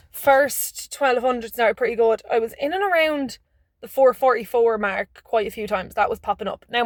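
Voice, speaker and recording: Chatty woman vlogging. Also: a little high. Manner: chatty, rambling, restarts mid-word. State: a bit hoarse and phlegmy. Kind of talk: casual vlog chat.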